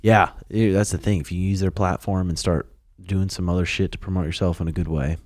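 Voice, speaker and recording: A man's voice: low-pitched, fairly even intonation, a little fast, darkish tone, no echo, studio quality. A young man hosting a podcast, chatting casually with easy, jokey swearing. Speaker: podcast host.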